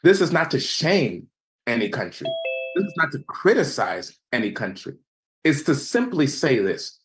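A young American man giving an evangelism seminar over a video call, measured and preacherly, letting phrase endings fall quiet. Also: big pitch swings. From United States. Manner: measured, preacherly, emphatic, drops pitch and volume at phrase ends. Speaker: seminar presenter. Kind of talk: presentation lecture with slides.

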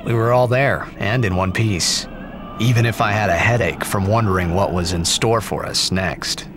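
A man's voice: on the low side, normal speed, lightly acted. A young man playing a brash, impulsive young hero with a casual, protesting manner in a video game cutscene. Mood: reflective narration. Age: young adult. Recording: music behind the voice.

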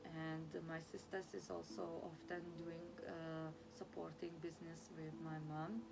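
A young woman from Lithuania with a Lithuanian accent, vlogging to camera in halting non-native English full of fillers, sitting in a small room. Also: low voice, flat delivery, creaky, very noisy. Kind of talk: casual talk to camera. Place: small room indoors, sitting against a plain wall.

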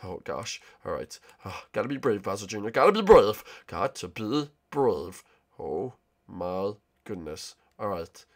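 A nervous young lad, acted by a man in a homemade character skit, with a lively, wide-ranging voice. He stammers, repeats himself and sounds guilty while trying to be brave. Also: fairly low pitch, a little slow, exaggerated voice. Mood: fearful. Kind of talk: casual hobby character skit acting.

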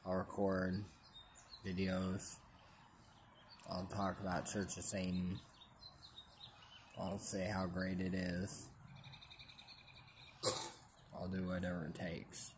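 Man, low voice, flat, rambling, restarts, phone at arm's length, outdoors. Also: background noise. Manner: repetitive, halting restarts. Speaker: vlogger. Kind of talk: rambling selfie plea to camera. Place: outdoors under a tree. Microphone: phone held at arm's length.

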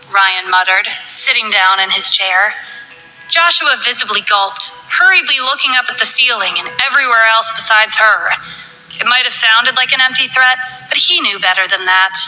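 Bright-voiced woman reading a novel. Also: fairly high pitch, poor audio. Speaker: narrator. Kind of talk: scripted novel narration.

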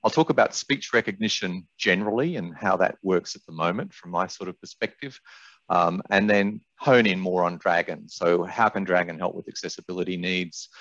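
A man's voice: low voice, normal speed, fairly bright tone. A middle-aged man with an Australian accent, giving a conversational presentation over a video call with wry, self-deprecating asides. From Brisbane, Australia. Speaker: webinar presenter, sales director. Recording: muffled, roomy video-call audio.

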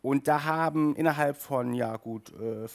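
A man in his thirties hosting a casual video review, chatty and halting, with emphatic asides, speaking into a headset mic. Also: mid-range voice, vocal fry. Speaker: host of an audio drama review show. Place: small furnished room indoors.

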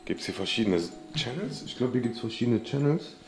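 A man's voice: mid-range voice, animated, brisk. A young man chatting casually in youth slang for a vlog. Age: young adult. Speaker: video creator. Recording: echoey room, loud music bed.

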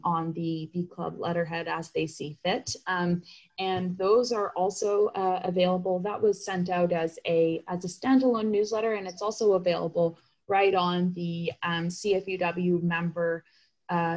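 Female meeting coordinator, slightly low register, hesitant phrasing, informal video-call presentation. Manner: hesitant, halting delivery with repeated words. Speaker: advocacy coordinator leading a meeting. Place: indoors on a group video call. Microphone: computer video call.

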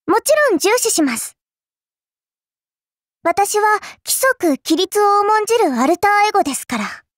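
A small girl AI servant from an anime mobile game, with a very high, childlike pitch. She speaks in sweet, polite phrases with a prim, smug, appraising edge. The recording is dry, with no room sound. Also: animated, measured pace, no echo. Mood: sweet, eager.

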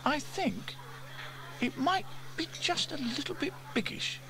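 A man's voice: extremely high pitch, lively intonation, unhurried, vocal fry, background noise, voice pushed up, exaggerated voice. A man voicing a curious, frank young boy in a children's storybook. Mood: doubtful.

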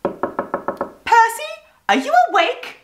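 A woman's voice: very high-pitched, big pitch swings, background noise, pitched above the performer's own voice, full character voice. A woman voicing a busy, bossy female magpie who bakes. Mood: brisk. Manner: brisk, explaining, businesslike. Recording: roomy echo.

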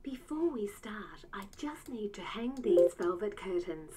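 A synthetic woman's voice with an Australian accent, playing a soft-spoken crystal-ball fortune teller in a hushed whisper. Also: mid-range voice, very animated, unhurried. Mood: hushed mystical.

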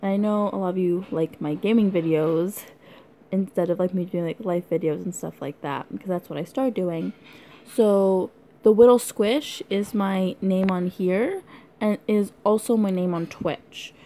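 Hoarse young woman vlogging. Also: mid-range voice, measured pace, dark tone, slight background noise. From Canada. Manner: rambling, giggly, lots of likes and ums. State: hoarse, losing her voice. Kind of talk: casual unboxing chat.

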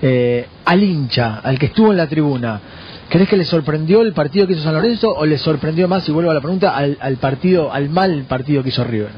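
A man's voice: fairly high pitch, animated, brisk, slight background noise. Male radio host, jokey and insistent, muffled broadcast. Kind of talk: radio sports interview.

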